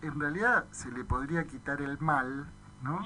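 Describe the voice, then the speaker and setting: Very bright, sharp-voiced Argentine man. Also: a little high, normal speed, rough recording. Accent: Argentine Spanish. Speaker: radio host. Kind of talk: conversational radio discussion. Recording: faint background noise on radio audio.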